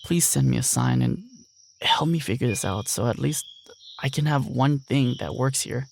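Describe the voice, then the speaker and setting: A man playing a grieving country man who fondly and reflectively remembers his late grandfather, with dramatic pauses, in an audio drama. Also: mid-range voice, very animated, gravelly, a little hiss or hum. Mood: wistful. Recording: loud music behind the voice. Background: music.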